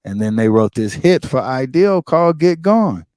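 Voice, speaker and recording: A man from the Bahamas, a music producer and DJ, telling stories in a relaxed, casual interview and acting out the voices he quotes. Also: medium pitch, normal speed, no echo, studio quality. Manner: relaxed storyteller, acts out quoted voices, drops to quiet asides.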